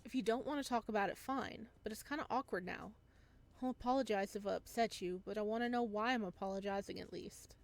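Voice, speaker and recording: A woman hosts a gameplay session, reading a visual novel aloud. Her voice sits at a middle pitch. She moves between the written story and off-the-cuff asides. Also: brisk.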